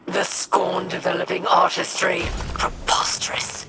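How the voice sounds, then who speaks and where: A woman's voice: on the low side, monotone, a little slow, heavy background noise. An actress playing a proud, scornful female alien warrior in a video game, with biting, formal speech. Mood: disdainful. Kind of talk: video game mission dialogue.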